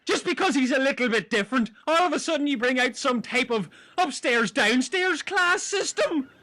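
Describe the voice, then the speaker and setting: A man playing an excitable, indignant castaway in an amateur comedy audio drama, with a fussy, melodramatic delivery. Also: very high-pitched, moderate pace, heavily acted. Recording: honking, blown-out amateur audio.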